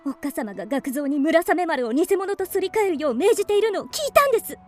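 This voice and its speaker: A woman's voice: high-pitched, expressive, fast, a little mellow, soft background music. A woman playing an anxious, devoted young maiden in a period radio drama, pleading earnestly.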